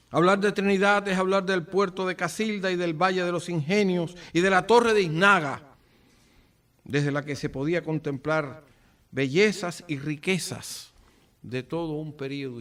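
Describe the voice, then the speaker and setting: A Cuban man with a Cuban accent giving a formal commemorative speech, solemn and rhetorical, with deliberate phrasing and dramatic pauses. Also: sits high, fairly bright tone. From Cuba. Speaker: speaker at a book presentation. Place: event at an office venue. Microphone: far from the speaker. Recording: distant microphone.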